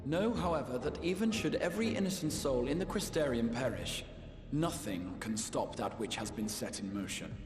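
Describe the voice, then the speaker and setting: Game role: man playing hooded sage-leader, polite yet unyielding, expressive delivery, reverberant hall with music. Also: mid-range voice, lightly acted. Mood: defiant resolve. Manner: measured, formal, theatrically polite. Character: calm, courteous, steely hooded mystic ruler. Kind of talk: dramatic video game cutscene acting.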